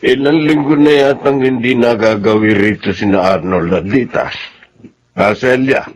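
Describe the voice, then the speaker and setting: An actor playing a gentle, concerned older man, a future grandfather with a husky voice, in a Tagalog radio drama. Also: medium pitch, normal speed, lightly acted. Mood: concerned, reflective.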